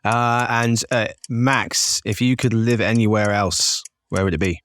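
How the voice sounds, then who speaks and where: A man's voice: lowish voice, steady tone, a little slow, a bit bright. A man hosting a business podcast in a cheery, jokey, chatty way. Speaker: podcast host.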